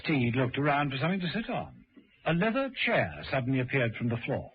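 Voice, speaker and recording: A man's voice: mid-range voice, very animated, brisk, a little hiss or hum. A man narrating a scripted vintage radio drama. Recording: dull old radio recording.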